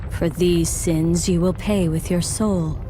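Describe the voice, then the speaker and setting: A woman's voice: on the low side, fairly even intonation, slow pace, background noise. A woman playing a stern, vengeful warrior in a video game, passing judgment in a solemn, declamatory way. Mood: stern, condemning. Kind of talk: dramatic video game voice acting.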